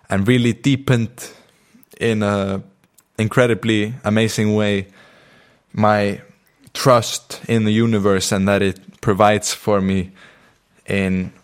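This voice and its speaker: Soft-spoken Icelandic man, low voice. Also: clean tone. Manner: reflective, spiritual wording, many fillers and restarts. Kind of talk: casual personal storytelling.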